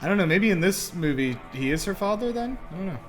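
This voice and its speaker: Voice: medium pitch, animated, fast talker, over music. A co-host giving casual movie reaction commentary, often explaining video game references to the others.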